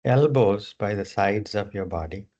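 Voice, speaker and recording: Male yoga nidra guide: very slow delivery, dark soft-edged tone, short spaced-out instructions, dull lo-fi sound. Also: on the low side. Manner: unhurried, with long pauses between short phrases. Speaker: yoga nidra meditation teacher.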